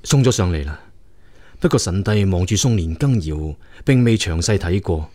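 A man's voice: low voice, expressive, normal speed, darkish tone. A man playing a loyal prince, the emperor's younger brother, advising him earnestly and deferentially in a radio drama. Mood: indignant. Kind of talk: Cantonese radio drama acting.

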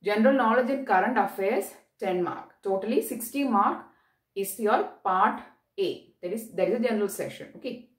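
A woman's voice: mid-range voice, normal speed. A young woman with an Indian accent gives an instructional explanation to camera, repeating key points and often checking in with "okay". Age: young adult. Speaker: presenter of an exam guidance channel. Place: small bare-walled room indoors. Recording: roomy echo, distant mic.

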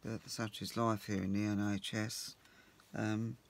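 A man with a croaky voice, chatting casually to himself while he works at a home craft table as host of his crafting channel. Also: on the low side, flat delivery, unhurried, very noisy.

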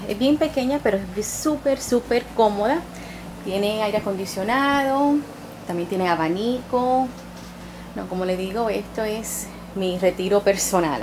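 A woman's voice: medium pitch, low-quality recording. A young woman from the Dominican Republic chatting casually through a camping vlog, upbeat and full of fillers, filmed on a handheld camera outdoors. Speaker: vlogger. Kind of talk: casual travel vlog narration. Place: outdoors at a campground and pool. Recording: loud ambient noise and crowd.

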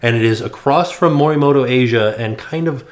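A man's voice: mid-range voice, a little fast. A man in his thirties from Florida, hosting a couch vlog and giving practical restaurant tips in casual chat. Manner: informative, tour-guide explanations with hand gestures. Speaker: vlog host. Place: living room, seated on couch.

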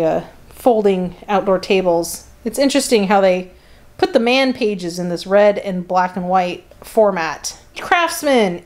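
A woman's voice: medium pitch, darkish tone. A woman giving casual, sardonic running commentary and reading printed copy aloud in a conversational way. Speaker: host of a catalog flip-through series.

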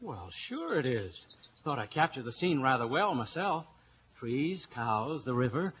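Breezy, animated American radio detective. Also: male voice, mid-range voice, unhurried, a little hiss or hum. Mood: casual, breezy. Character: breezy, wisecracking American detective posing as painter. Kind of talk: 1940s-50s radio detective acting and narration. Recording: dull old radio recording, music behind.

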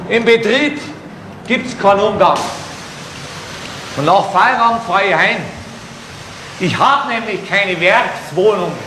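An actor with a Bavarian accent playing a guarded, grumbling workshop employee in a radio crime drama, speaking curtly. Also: male voice, sits high, slow pace, pauses often, bright, forceful tone, full character voice. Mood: bitter, sarcastic. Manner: curt, grumbling. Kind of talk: 1970s German radio crime drama acting. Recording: noisy old radio play recording.

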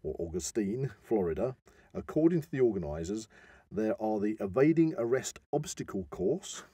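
English man, mellow tone, husky edge, dry close sound, casual banter, podcast co-host. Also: lowish voice, expressive, moderate pace, no echo. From England. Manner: chuckles through his telling. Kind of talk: casual banter and humorous storytelling.

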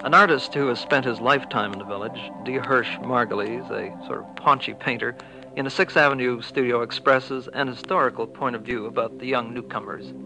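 1960s radio doc narrator guy, medium pitch, music under him. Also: a little fast, vocal fry, pushed and bright, low-quality recording. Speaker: documentary narrator. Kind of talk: scripted 1960 radio documentary narration.

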